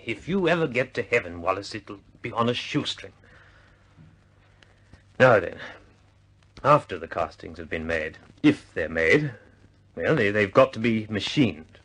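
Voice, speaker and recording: A man's voice: lowish voice, very animated, measured pace, pauses often, a bit bright, slightly rough, heavily acted, low-quality recording. An actor playing an exasperated senior British official in a vintage radio drama, stammering and emphatic as he blusters.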